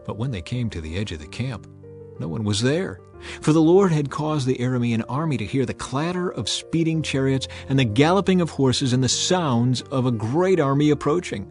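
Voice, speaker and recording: Male narrator, earnest storytelling, mid pitch, faint score behind. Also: expressive, normal speed, darkish tone. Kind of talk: dramatized scripture reading. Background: faint background music.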